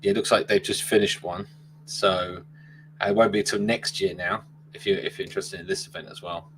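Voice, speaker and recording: A man's voice: deep voice, fairly even intonation, brisk, a bit bright, poor audio. A man hosting a live stream in a casual, chatty way. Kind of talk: casual chat. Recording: faint hum.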